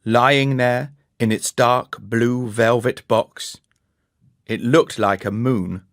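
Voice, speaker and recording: A man reading a children's story in a measured, deliberate, dramatic way. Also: medium pitch, fairly even intonation, fairly bright tone, no echo, clean studio sound. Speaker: story narrator. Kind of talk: expressive scripted storybook narration for learners.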